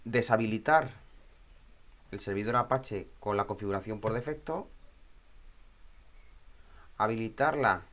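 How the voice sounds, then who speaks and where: A man presenting an informal step-by-step software tutorial, thinking aloud and often checking in with short questions. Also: fairly low pitch, unhurried, long pauses.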